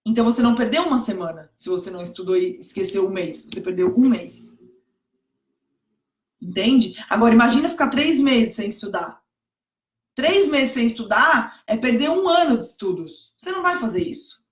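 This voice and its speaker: Hoarse woman, emphatic teacher, echoey room. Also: on the high side, lively intonation, moderate pace. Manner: emphatic, repeats key points, asks "entende?". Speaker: exam prep teacher. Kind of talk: motivational live stream talk.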